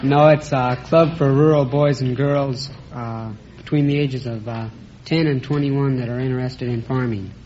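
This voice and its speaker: A man's voice: medium pitch, fairly even intonation, normal speed, a little mellow, some chatter behind. A fourteen-year-old boy answering a host's questions earnestly and plainly as a schoolboy contestant. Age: 14, teenage boy. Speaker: schoolboy quiz show contestant. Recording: dull vintage radio recording, slight noise.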